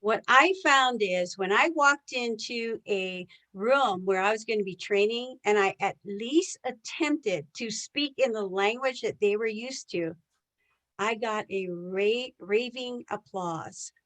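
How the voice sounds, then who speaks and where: A woman's voice: a little high, takes it slowly, a bit bright, poor audio. A woman presenting a live online sales training as a trainer, a native English speaker who often puts questions to her listeners. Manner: engages listeners with rhetorical questions.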